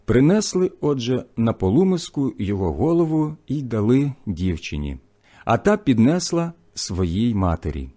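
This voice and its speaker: A man reads scripture in a solemn, measured style. His mid-pitched voice is mellow and relaxed, with lively rises and falls across each phrase. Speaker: scripture reader. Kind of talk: solemn scripted Bible reading.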